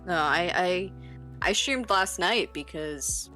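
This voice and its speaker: A young woman in her twenties with a deep voice, chatting casually and rambling on a live stream. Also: expressive, slow, pushed and bright, faint music behind, rough recording. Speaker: streamer guest.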